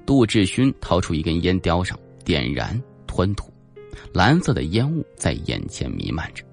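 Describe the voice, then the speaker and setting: A man's voice: deep voice, huge pitch swings, normal speed, vocal fry, faint music behind. A man narrating a suspense novel as a scripted, dramatic audiobook reading.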